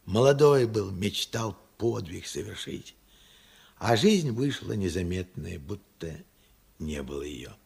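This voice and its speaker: An actor playing a regretful, stubborn old working man in a radio play, plain-spoken and reflective, with halting speech. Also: fairly low pitch, a little mellow, heavily acted. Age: elderly. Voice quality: husky, creaky old voice. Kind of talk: Soviet radio play acting.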